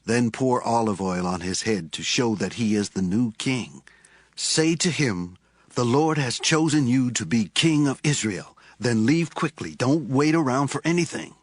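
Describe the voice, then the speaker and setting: A man playing a solemn Hebrew prophet giving measured orders in a dramatized audio Bible. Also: mid-range voice, dry recording.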